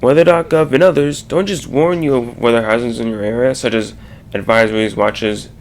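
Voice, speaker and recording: A man giving an informal explanatory slide presentation, haltingly, with stumbles and restarts mid-sentence. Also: medium pitch, fairly even intonation, slight background noise.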